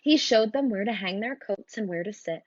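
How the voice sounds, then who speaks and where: A woman reading a children's storybook aloud in an expressive narrating style. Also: medium pitch, normal speed, dry recording, lo-fi sound. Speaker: storybook reader.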